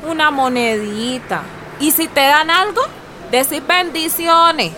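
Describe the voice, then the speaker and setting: A woman's voice: high voice, takes it slowly, pushed and bright, slight background noise, exaggerated voice. A woman acting in a radio drama as a feisty, protective, streetwise mother with a Colombian accent, giving earthy orders to her children. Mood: bossy, protective. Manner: bossy, earthy, colloquial instructing.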